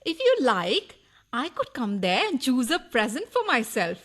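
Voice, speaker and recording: A woman's voice: high-pitched, wildly swooping pitch, measured pace, fairly bright tone, full character voice. A woman acting a needy, nagging burglar's wife in a radio farce, reproachful and wheedling in a melodramatic way. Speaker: radio play actor. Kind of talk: farcical radio play acting.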